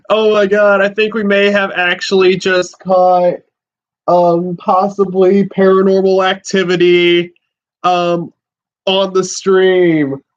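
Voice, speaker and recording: Young man in his twenties, excitable livestream host, slow halting speech, muffled lo-fi sound. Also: high voice. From USA. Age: 20s. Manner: excitable, swearing, exclaiming, halting restarts. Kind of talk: excited casual livestream chat. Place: small room at home with a fish tank. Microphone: laptop and handheld phone. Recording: dull lo-fi stream audio.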